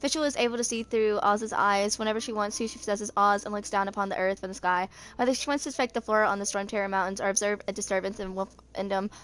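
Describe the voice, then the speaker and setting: Fast-reading young woman. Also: medium pitch, steady tone, fairly bright tone. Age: 20s. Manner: stumbles over words while reading. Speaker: video creator reading game character lore. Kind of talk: reading game lore aloud.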